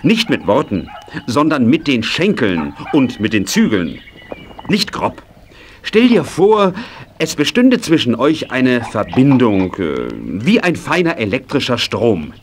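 An actor playing a firm, patient riding instructor in a 1980s children's audio drama, giving clear commands. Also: male voice, medium pitch, huge pitch swings, takes it slowly, a little hiss or hum. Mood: encouraging.

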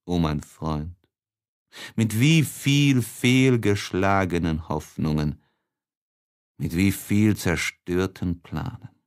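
A man reading a classic literary letter as an audiobook narrator, with a deliberate and expressive dramatic delivery. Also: low-pitched, smooth.

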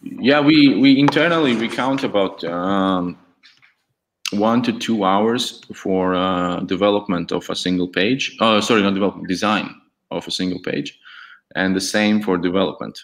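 A young man whose first language is Latvian, speaking English as a guest on a video call with a headset, candid and halting with many restarts. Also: sits low. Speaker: guest, e-learning template developer. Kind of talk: casual video call chat.

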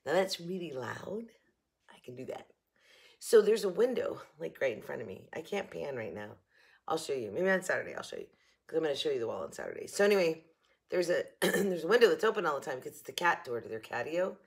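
Slightly hoarse, chatty woman in her kitchen. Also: low voice, sing-song, brisk. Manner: chatty, rambling, self-mocking. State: a little hoarse. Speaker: haul vlogger. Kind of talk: casual chat to camera. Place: home kitchen, indoors, early morning.

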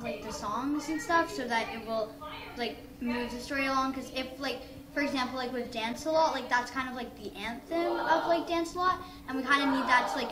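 A female stage cast member talking casually in a group interview. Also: on the high side. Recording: echoey room with crowd sound.

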